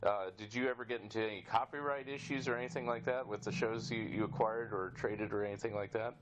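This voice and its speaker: A man's voice: fairly low pitch, fairly even intonation, fast, background noise. A man interviewing as a podcast host in a casual, easygoing way, feeling his way into each question.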